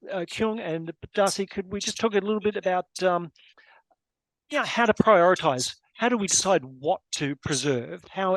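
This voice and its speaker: A man moderating an online panel in a rambling, loosely casual way, circling back and correcting himself as he frames his questions. His voice sits a bit high for a man, and the video-call sound is notably dry, with no room around it. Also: no room sound, rough recording. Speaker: webinar moderator. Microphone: video call microphone.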